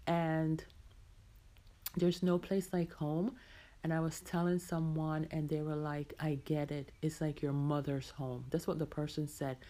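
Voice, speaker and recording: A woman's voice: low voice, level tone, unhurried, mellow. A middle-aged woman hosting a podcast as a casual, reflective personal monologue, repeating phrases for emphasis. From Jamaica. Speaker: podcast host.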